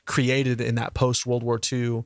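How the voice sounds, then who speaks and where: A man's voice: mid-range voice, monotone, unhurried, dry recording, studio quality. A man co-hosting a casual podcast chat, rambling and loose, full of "you know what I mean.". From United States. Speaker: podcast co-host.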